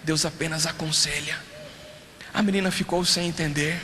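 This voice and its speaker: A man preaching as a pastor, shifting between forceful appeals and hushed storytelling. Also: on the high side, steady tone, moderate pace, slight background noise. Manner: preaching with rising and falling intensity, drops to hushed storytelling. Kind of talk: sermon. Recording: reverberant hall, faint ambience.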